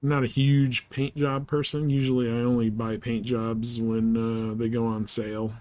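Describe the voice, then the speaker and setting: A man hosting a live game stream, chatting casually and haltingly with viewers as he thinks aloud in an easygoing way. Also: medium pitch, flat delivery, a little mellow, dry recording. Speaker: game livestream host. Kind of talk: casual livestream chat while gaming, answering viewers.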